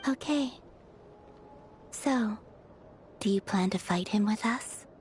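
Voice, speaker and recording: An actress playing a gentle, composed young woman in a video game, talking in a measured, reflective way. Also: medium pitch, a little hiss or hum, lightly acted. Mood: understanding. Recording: game music behind the voice.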